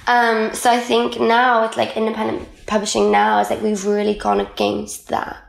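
Welsh woman, young adult, native Welsh speaker; casual guest chat, gravelly tone, echoey room. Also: fairly high pitch, monotone, normal speed. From Wales. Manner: chatty, lots of "like" fillers. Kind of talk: casual conversational interview.

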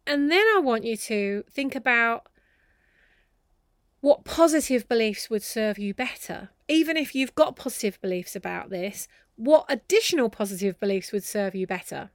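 Woman, high voice, motivational coach, emphatic delivery, deliberate phrasing, dry sound. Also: expressive, dry recording. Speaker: host and business coach. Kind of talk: motivational coaching talk to viewers.

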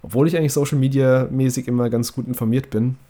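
A young man hosts a laid-back podcast while fighting off a cold, so his nose sounds stuffed up. His voice is dark and mellow, at an ordinary pitch for a man. He talks easily and restarts his sentences now and then. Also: fast. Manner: relaxed, conversational, with fillers and restarts. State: congested from a cold. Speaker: podcast host. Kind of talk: casual podcast chat.

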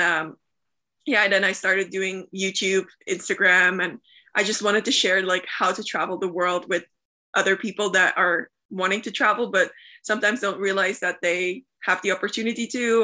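Bright-voiced young Flemish woman, chatty. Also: medium pitch. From Belgium, Flemish part. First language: Dutch. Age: 20s. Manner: chatty, frequent "like" fillers. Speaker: guest, travel content creator. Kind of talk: casual interview answers.

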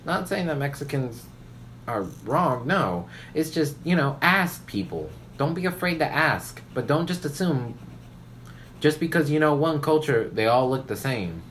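A woman's voice: sits low, lively intonation, a little slow, a little hiss or hum. A woman chatting casually, with an opinionated, emphatic, freely swearing way of talking. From Puerto Rican family background. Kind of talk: casual banter.

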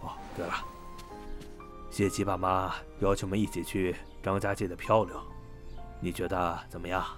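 A man's voice: sits low, flat delivery, moderate pace, long pauses, a bit bright, soft background music. A man acting a mild, caring, conciliatory middle-aged father in a Chinese audio drama. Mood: consoling.

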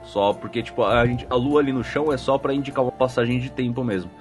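A man's voice: medium pitch, quick pace, soft background music. A man with a Brazilian accent chatting casually as a podcast co-host, explaining things in a colloquial way. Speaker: podcast co-host and game master. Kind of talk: casual chat answering listener feedback.

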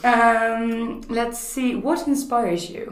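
A woman's voice: fairly high pitch, extremely slow, echoey room. A woman from the Netherlands hosting a podcast interview over a video call. She talks in a friendly way and laughs at her own jokes. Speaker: podcast host.

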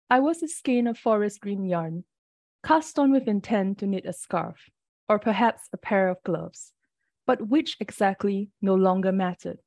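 A woman from Singapore, reading her poems aloud in a measured, deliberate way, her voice a little off from the early hour. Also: medium pitch, a little mellow, dry recording, clean studio sound. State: slightly off early-morning voice. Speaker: poet. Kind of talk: poetry reading.

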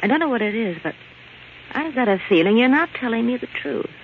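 An actress playing a jealous, defiant woman with a sharp, agitated, accusing manner in 1940s radio drama style. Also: a little high, expressive, brisk, vocal fry. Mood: suspicious. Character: jealous, defiant murderess cornered into confessing. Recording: dull, noisy old radio broadcast.

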